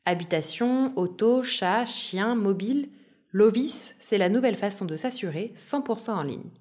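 A woman hosting a podcast, reading a clear, upbeat intro and then asking the guest questions. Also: mid-range voice, animated, measured pace, darkish tone. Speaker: podcast host. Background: music.

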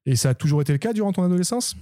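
A man hosting a podcast interview, asking calm, friendly questions. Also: high-pitched, very fast. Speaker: podcast host.

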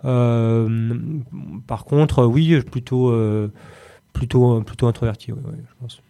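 A man's voice: mid-range voice, fairly even intonation, dark tone, clean tone. A man in his late thirties with a Parisian French accent, answering interview questions in a hesitant, casual way with frequent repeated words. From Paris region, France. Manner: hesitant, with many word repetitions and filled pauses. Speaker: podcast guest, company chief executive.

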